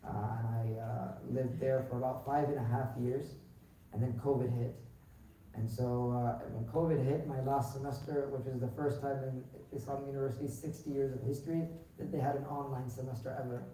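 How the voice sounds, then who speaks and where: A young man born in Pakistan and raised in the United States answers interview questions about himself in a relaxed, rambling way, with many fillers and restarts. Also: medium pitch, a little fast. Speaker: imam candidate being interviewed. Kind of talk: casual spoken interview answers. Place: large echoey mosque hall. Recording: very echoey hall, distant mic, faint noise.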